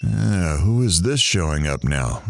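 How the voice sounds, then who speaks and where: A man's voice: deep voice, very animated, measured pace, gravelly, heavily acted. A man voicing an impatient, bored onlooker who grumbles sardonic asides in an audio drama. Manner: sardonic, complaining.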